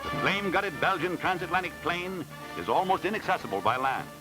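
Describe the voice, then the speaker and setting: A man's voice: a little high, lively intonation, brisk, music in the background, poor audio. A man reading scripted 1940s newsreel narration in a formal, dramatic announcer style, on a vintage film soundtrack. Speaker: newsreel commentator.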